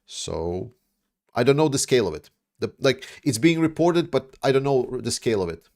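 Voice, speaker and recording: A man's voice: medium pitch, sing-song, normal speed, darkish tone. A man hosts a casual livestream and reads out viewer questions. He rambles through his answers with frequent fillers and restarts. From Latvia. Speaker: livestream host.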